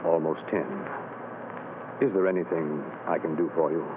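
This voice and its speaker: An actor playing a grave male official who speaks quietly and sympathetically to a prisoner, in a 1930s radio drama. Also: a bass voice, steady tone, moderate pace. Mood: somber. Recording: hissy tinny 1930s radio broadcast.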